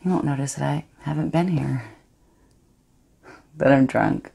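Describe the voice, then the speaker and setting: A woman's voice: very deep voice, a little slow, gravelly, mellow, slightly rough, full character voice. A woman playing a defiant, unrepentant young woman. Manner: intimate, dropping to whispers. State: has a cold. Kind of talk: audition monologue.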